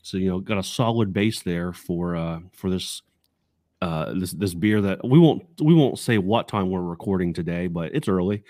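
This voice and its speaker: A man co-hosting a casual sports podcast in an upbeat, excited way, recorded in a basement home studio. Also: deep voice, normal speed, dry recording. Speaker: podcast co-host.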